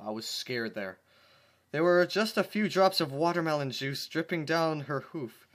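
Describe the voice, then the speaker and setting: A man's voice: medium pitch, fairly bright tone. A man reading fan fiction aloud at home, breaking off for sarcastic, exasperated commentary. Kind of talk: dramatic reading with comic asides.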